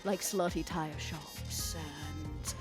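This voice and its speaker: A woman's voice: low voice, fairly even intonation, over music. Woman playing a sly, scheming vampire crime boss. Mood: sly, persuasive. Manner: sly, theatrical, deliberate. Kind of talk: in-character tabletop roleplay.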